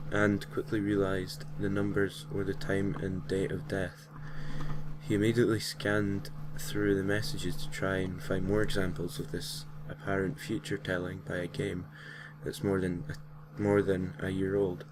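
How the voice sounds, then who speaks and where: Low, monotone man over clear noise. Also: unhurried. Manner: stumbles over words and corrects himself. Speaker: host reading creepypasta stories. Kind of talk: reading a creepy story aloud, amateur narration. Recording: clearly noisy home recording.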